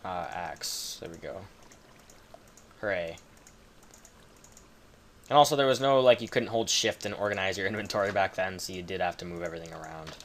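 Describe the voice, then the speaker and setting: Low-voiced Canadian guy rambling over gameplay. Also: moderate pace, pauses often, a bit bright, slight background noise. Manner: rambling, chatty, swears casually. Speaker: gaming video creator. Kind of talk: casual gameplay commentary.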